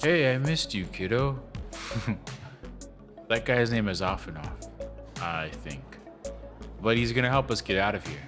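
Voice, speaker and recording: A man acting as a gentle, reassuring, protective grown-up in an audio drama. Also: on the low side, animated, a little slow, pauses often, over music. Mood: affectionate.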